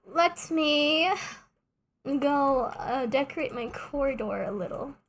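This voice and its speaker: A woman's voice: sits high, expressive, slow pace, fairly bright tone, slight background noise. Rambling, joking young woman streamer. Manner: rambling, self-mocking, joking asides. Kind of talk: casual gameplay streaming chat.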